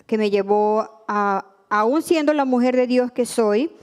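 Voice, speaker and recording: Soft-spoken woman giving a testimony. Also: fairly high pitch, unhurried, clear, resonant tone. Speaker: church member giving testimony. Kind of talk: personal testimony.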